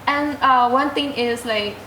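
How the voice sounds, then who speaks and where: A young woman, a student guest answering interview questions hesitantly, filling pauses with "like" and "um". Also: sits high, bright, forceful tone, slight background noise. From Myanmar. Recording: roomy echo.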